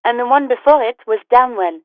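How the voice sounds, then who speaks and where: A woman's voice: on the high side, fairly quick, dry recording. A woman playing a polite, businesslike police dispatcher in a video game, speaking formally and dryly through a radio filter. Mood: informative, dry. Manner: formal, courteous, clipped official delivery. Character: polite, businesslike female police dispatcher, patient but dry. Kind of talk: scripted video game voice lines. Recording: tinny, narrow-band two-way radio.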